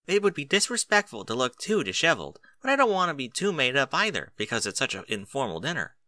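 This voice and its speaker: Bookish young unicorn mare played by a man, precise and earnest, lifted pitch, dry close sound. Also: sing-song, fast, pushed and bright, dry recording. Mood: eager, particular.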